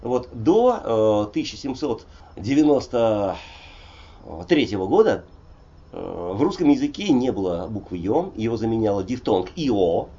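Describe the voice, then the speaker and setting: A man in his sixties from Russia, a poet talking in a relaxed interview, rambling and digressive with many hesitations. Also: on the low side, measured pace, husky, highs cut off. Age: 60s. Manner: digressive, frequent hesitations and "vot" fillers. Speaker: poet and polyglot guest. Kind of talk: conversational interview, discursive storytelling.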